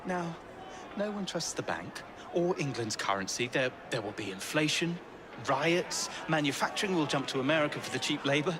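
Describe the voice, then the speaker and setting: A man's voice: fairly high pitch, expressive, moderate pace, lightly acted. A man voicing a fretful statesman. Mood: concerned. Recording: game cutscene with heavy street noise.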